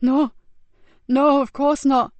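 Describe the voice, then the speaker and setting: A woman voicing a tough, fierce female police officer who speaks forcefully and urgently. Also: sits high, level tone, slow pace, dry recording, voice pushed up. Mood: pleading.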